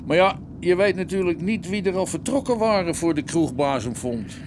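A man's voice: high voice, expressive, moderate pace, background noise. A man playing a middle-aged veteran policeman in a radio drama, deferential, plain-spoken and wry. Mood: matter-of-fact. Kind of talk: Dutch radio drama acting.

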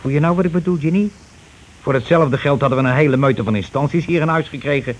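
An actor playing a scheming, money-grabbing husband and landlord in a radio play, talking in wheedling, self-justifying colloquial patter. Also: male voice, on the high side, fast, lightly acted. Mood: smug, self-justifying. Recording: noisy old radio drama recording.

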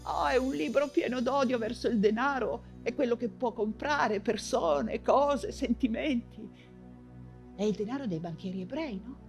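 An actress voicing a cold, courteous male German officer with a soft, measured, insinuating manner, in a stage monologue. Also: fairly high pitch, creaky, soft background music, pitched below the performer's own voice.

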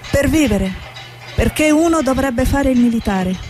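Woman acting an earnest, mellow-voiced female war reporter. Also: high voice, animated, unhurried, faint music behind. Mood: reporting. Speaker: stage actor. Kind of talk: stage drama, dialogue and reflective monologue.

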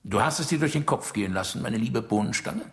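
Male actor as Soviet dictator, teasing, mock-jovial, menacing, lively swooping intonation, radio drama. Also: mid-range voice, fast talker, lightly acted. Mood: probing. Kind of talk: German radio drama acting.

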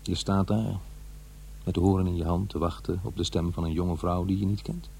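A man's voice: sits low, fairly even intonation, moderate pace, vocal fry, darkish tone. An actor playing a regretful, lonely middle-aged divorced father in a radio play, speaking hesitantly and searching for words. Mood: confessional, rueful. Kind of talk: Dutch radio drama acting. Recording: noisy, humming radio play recording.

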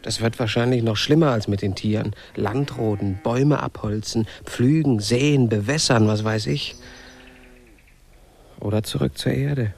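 A man in a radio play, playing a shy, grumpy settler on a far planet in a halting, grumbling manner. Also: mid-range voice, vocal fry, darkish tone. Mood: weary. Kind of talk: German radio play acting.

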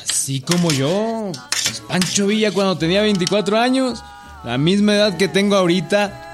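A man's voice: high voice, animated, normal speed, over music. An actor playing a talkative young working-class townsman with a Mexican accent. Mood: chatty.

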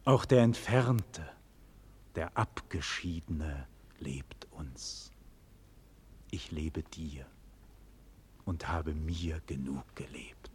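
An actor playing a dignified condemned nobleman in a 1940s German radio play, declaiming solemnly with breathy, husky murmurs and loud cries. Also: deep voice, a little hiss or hum, heavily acted. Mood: solemn, consoling. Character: doomed nobleman facing execution, dignified, passionate, grave. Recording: vintage 1940s radio studio recording.

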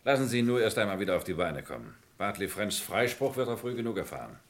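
Protective gentleman, lowish bright voice, very animated intonation, earnest, old radio thriller. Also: fast. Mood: reassuring, concerned. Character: protective, concerned gentleman trying to reassure others. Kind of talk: vintage German radio crime drama acting.

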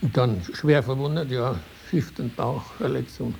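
An older man with a Tyrolean Austrian accent, recalling his life in spontaneous, halting, rambling talk. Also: mid-range voice, creaky, a little mellow, rough recording. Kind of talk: spontaneous oral reminiscence. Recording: hissy archival interview tape.

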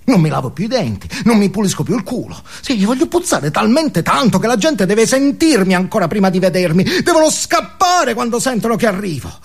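Actor as an unhinged, gravelly man ranting. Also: sits high, very animated, a little fast, hardly any pauses, exaggerated voice. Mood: desperate, manic. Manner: intense, volatile, emphatic, swinging from muttering to yelling. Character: unhinged, foul-mouthed, alienated man ranting about himself. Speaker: stage actor. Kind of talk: theatrical comic monologue.

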